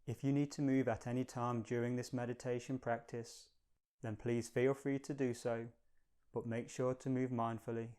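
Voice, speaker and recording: A man leading a guided meditation in a calm, gentle, measured manner, giving slow, soothing instructions. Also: mid-range voice, smooth.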